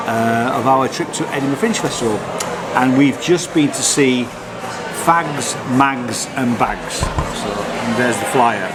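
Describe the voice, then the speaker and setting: A man hosting a vlog, giving a casual, halting and blunt review to camera. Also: mid-range voice, very noisy. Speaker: vlog host reviewing festival shows. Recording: loud crowd chatter behind. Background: busy crowd.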